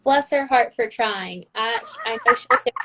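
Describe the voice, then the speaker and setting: A woman's voice: on the high side, measured pace, fairly bright tone, slight background noise. A young woman guesting on a podcast, answering questions in a wry, self-deprecating way. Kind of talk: casual interview chat.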